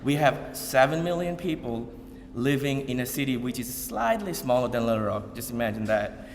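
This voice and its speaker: A man from Bangladesh talks to an audience in a loose, unscripted way. He stops and restarts often and leans on fillers. His voice sits at a middle pitch and carries a long hall reverb. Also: animated. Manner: halting, many fillers and repeated starts. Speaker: community speaker. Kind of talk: informal public talk to an audience. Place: event hall.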